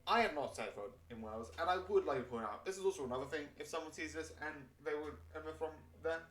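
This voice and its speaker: A man's voice: fairly high pitch, fast talker, a bit bright, slight background noise. Rambling British man streaming. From United Kingdom. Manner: rambling, with many fillers and self-corrections. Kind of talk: casual chat over gameplay. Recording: roomy and distant mic.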